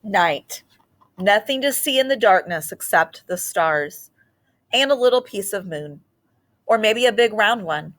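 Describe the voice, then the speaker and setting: A woman storyteller reading a picture book aloud expressively, in short deliberate phrases with dramatic pauses and the odd aside. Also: medium pitch, animated, fairly bright tone.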